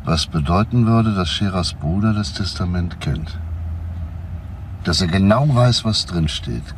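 A very low-pitched male detective from a crime radio play. He is composed and persistent, putting clipped, deliberate questions. There is faint noise behind him. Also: full character voice.

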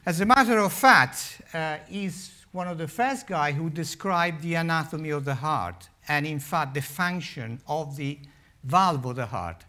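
A man's voice: on the high side, measured pace. An older man with an Italian accent, a heart surgeon giving a public lecture at a lectern, telling wry stories with many fillers. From Italy. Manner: animated, wry storytelling with frequent uh fillers. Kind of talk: public lecture with humorous asides. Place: large lecture hall, standing at a lectern. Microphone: lectern microphone.

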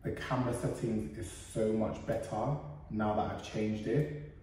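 A man's voice: fairly low pitch, fairly even intonation, measured pace, darkish tone, a little hiss or hum, low-quality recording. A man in his thirties with a London British accent, chatting casually and candidly in slang as a vlogger, recorded indoors on a camera mic. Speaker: YouTube vlogger. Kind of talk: casual vlog chat. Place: indoors in a flat: kitchen, living room, bedroom.

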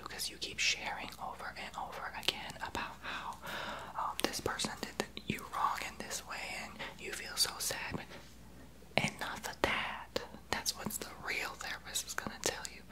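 A man's voice: sits high, natural voice, low-quality recording. A man whispering as a sassy, rude young therapist. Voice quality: soft unvoiced whisper. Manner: blunt, sarcastic, gossipy sass. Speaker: ASMR creator. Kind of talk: whispered ASMR roleplay. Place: small home office, indoors.

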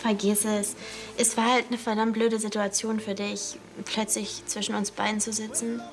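A woman's voice: medium pitch, little pitch movement, moderate pace, music in the background, lightly acted. A young actress playing a hesitant, conciliatory teenage schoolgirl with a crush in a TV drama. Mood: hesitant, earnest. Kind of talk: scripted TV drama dialogue.

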